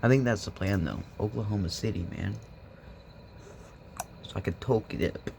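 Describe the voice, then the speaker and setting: Hoarse young man rambling casually. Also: lowish voice, normal speed, noisy background. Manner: meandering, joking, laid-back. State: hoarse from smoking. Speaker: vlogger. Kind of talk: rambling casual vlog chat. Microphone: phone.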